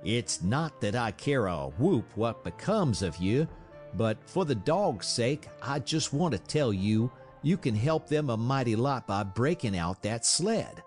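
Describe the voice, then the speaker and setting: A man's voice: medium pitch, big pitch swings, measured pace, darkish tone, soft background music, voice pushed up. Man doing a folksy frontiersman. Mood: blunt. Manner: folksy, colloquial, blunt. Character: plain-spoken rustic frontier onlooker, folksy and wry.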